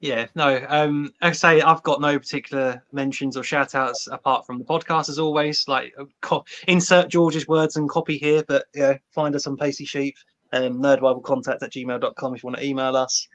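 A man's voice: mid-range voice, steady tone, a little fast, a bit bright. A man chatting casually as a podcast guest. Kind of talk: casual chat.

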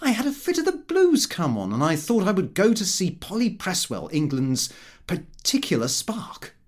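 A man's voice: sits high, huge pitch swings, moderate pace, pitched above the performer's own voice, heavily acted. A man voicing a cocky, slangy young idle son in an audiobook, breezy and cheeky. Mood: offhand, breezy. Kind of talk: audiobook character dialogue.

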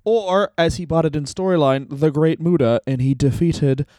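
Rambling male podcast co-host, fairly high, smooth voice, close dry mic. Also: moderate pace, mellow, no echo. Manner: rambling, heavy use of "like". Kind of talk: casual comedic chat.

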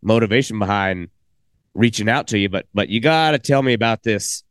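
A man's voice: fairly low pitch, fairly quick, fairly bright tone, no echo, clean studio sound. A man hosting a casual podcast interview, talking enthusiastically and rambling with frequent restarts. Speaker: podcast host.

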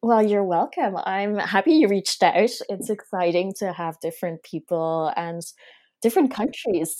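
A woman's voice: medium pitch, animated, normal speed, darkish tone. A woman hosting a podcast, speaking in the style of a casual interview with introductions. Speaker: podcast host.

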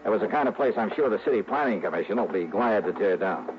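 An actor playing a tough, brisk American investigator who narrates his case in a 1950s radio detective drama, with clipped, insistent delivery. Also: on the low side, expressive, noisy background, close to an everyday voice. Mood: wry, determined. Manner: hard-boiled, clipped, insistent. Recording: muffled old radio recording, music behind.

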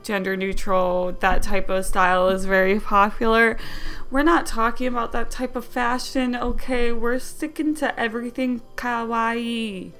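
A woman's voice: fairly high pitch, level tone, measured pace, fairly bright tone, faint music behind. Bubbly young woman with a Wisconsin accent. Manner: bubbly, jokey, self-deprecating asides. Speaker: fashion vlogger. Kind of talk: casual chatty explainer.

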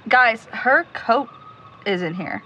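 Woman acting as determined blunt young lady, bright highish voice, audio drama, bit of background noise. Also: animated, slow, lo-fi sound, full character voice. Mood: shaken, hopeful. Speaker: actor in a supernatural mystery audio drama.

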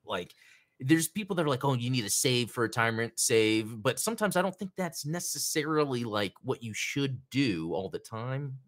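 A man's voice: mid-range voice, very animated, moderate pace, fairly bright tone. Rambling casual man hosting a hobby show. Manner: rambling, many fillers and restarts. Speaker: podcast host.

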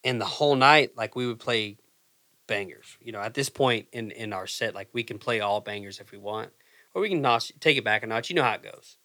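A man's voice: lowish voice, a little fast, bright, forceful tone. A man in his twenties chatting casually on a podcast, swearing freely and restarting his sentences. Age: 20s. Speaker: guest musician.

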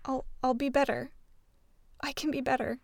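A woman's voice: high voice, steady tone, normal speed, no room sound. An actress playing a timid young woman telephone operator who stammers and apologizes nervously. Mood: apologetic. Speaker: switchboard operator.

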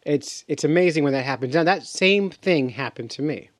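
A man who hosts a podcast, speaking in a measured, deliberate way. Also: on the high side, lively intonation, no echo, studio quality. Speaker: podcast host. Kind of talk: casual podcast chat.

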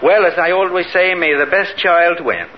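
A man's voice: high voice, normal speed, lightly acted. An actor playing a genial, chatty neighborhood policeman in a 1950s radio comedy, folksy and hearty. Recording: dull, hissy 1950s radio recording.

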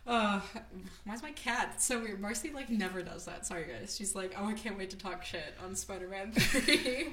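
A woman hosting a podcast, chatting casually about a movie with a naturally loud voice, laughing often and rambling with lots of fillers. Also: mid-range voice, animated, moderate pace, reverberant, very noisy. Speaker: podcast host. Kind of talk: casual movie review chat.

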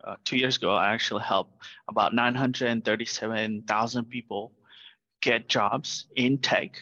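A man's voice: medium pitch, fairly even intonation, normal speed, bright, forceful tone. A middle-aged man from Vietnam, a startup founder, speaking earnestly as an interview guest over a video call and often adding "right?". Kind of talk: remote interview. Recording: dull, lo-fi call audio.

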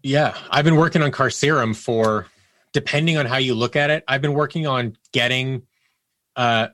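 A man's voice: mid-range voice, moderate pace, fairly bright tone, no echo. A man speaking as an interview guest, conversational, earnest and reflective as he explains things. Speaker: interview guest, audio drama producer.

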